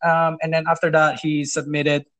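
A man's voice: on the high side, monotone, moderate pace, fairly bright tone. A man working in property management, telling stories in a casual interview, hesitant with many fillers and restarts, chuckling now and then. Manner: amused, chuckles while telling.

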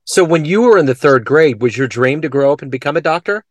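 Animated male interview host, dry sound. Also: a little high, quick pace, dry recording. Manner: curious, upbeat questioning. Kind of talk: conversational interview.

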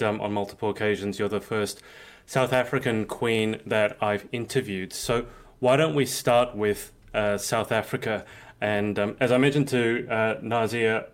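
A man hosting a live interview, chatty and casual, with frequent fillers. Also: medium pitch. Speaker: host. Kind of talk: livestream interview.

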